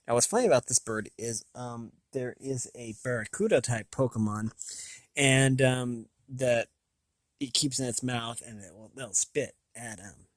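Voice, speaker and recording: An American man hosting a casual, unscripted review, thinking aloud in a halting, rambling way with a slightly raspy voice. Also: mid-range voice, dry recording. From United States. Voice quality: slightly raspy from long talking. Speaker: video host.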